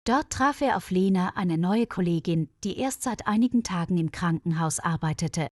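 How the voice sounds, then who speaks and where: Lively dry-voiced woman narrator. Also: no echo. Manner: clear, measured reading with quoted dialogue lifted higher. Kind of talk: scripted story narration for German learners.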